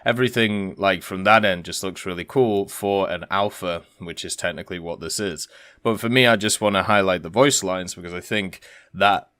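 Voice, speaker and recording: A man's voice: sits low, normal speed, fairly bright tone, clean tone. A man giving casual, rambling commentary as the host of a gaming video.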